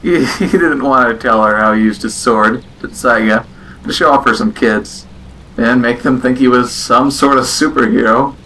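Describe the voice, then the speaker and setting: A man narrates a scripted story in a medium-pitched voice. It is an amateur recording with slight noise behind him. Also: normal speed, bright, forceful tone, low-quality recording.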